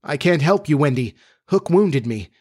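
A man's voice: on the high side, lively intonation, normal speed, darkish tone, pitched above the performer's own voice. A man voicing a brave boy who never grows up, speaking earnestly and gallantly. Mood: grave.

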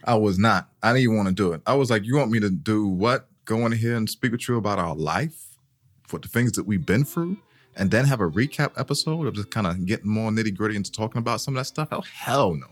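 A man's voice: lowish voice, lively intonation, fast. A young man co-hosting a podcast in loose, casual chat, joking and swearing easily. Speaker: podcast co-host.

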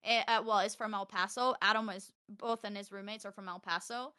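A young woman from Texas chatting on a podcast, loud and animated, telling stories fast with a close mic. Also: medium pitch, smooth, dry recording. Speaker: podcast guest, streamer. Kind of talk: casual podcast chat.

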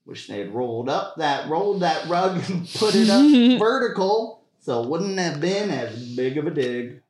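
Guy putting on a grumpy folksy archaeology boss, high pitched, comedy sketch, echoey room. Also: very animated, moderate pace, a crowd in the background. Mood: boastful, cranky. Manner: cantankerous, folksy turns of phrase. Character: crabby, fed-up male archaeology professor and boss. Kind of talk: comedy sketch table read. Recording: roomy, echoing podcast recording.

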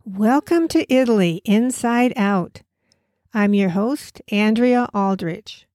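A woman hosting an interview podcast, reading a scripted intro and asking questions in a measured way. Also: medium pitch, a little mellow. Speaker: podcast host.

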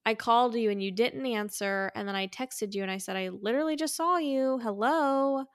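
A woman hosting a casual, chatty podcast, telling stories in an animated way and acting out quotes as she goes. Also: fairly high pitch, a little fast, clean tone, no room sound, clean studio sound. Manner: animated storyteller who quotes herself and others. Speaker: podcast host.

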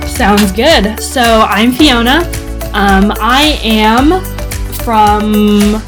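A woman's voice: a little high, drawn-out words, over music. A teenage girl from the United States, a podcast guest who talks hesitantly with many fillers. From USA. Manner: hesitant, many ums and likes. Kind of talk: casual podcast chat.

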